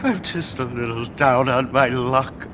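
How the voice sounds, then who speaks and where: A man's voice: mid-range voice, a little slow, a bit bright, slight background noise, lightly acted. A man playing a drunken, self-pitying street Santa in a video game, whining and rambling. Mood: pleading, rambling. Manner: whiny, rambling, maudlin. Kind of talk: video game cutscene acting.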